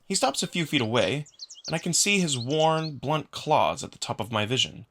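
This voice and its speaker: A man's voice: fairly high pitch, lively intonation, moderate pace, fairly bright tone, slight background noise. A man giving a dramatic first-person narration of a visual novel, acting as narrator. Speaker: narrator and voice actor.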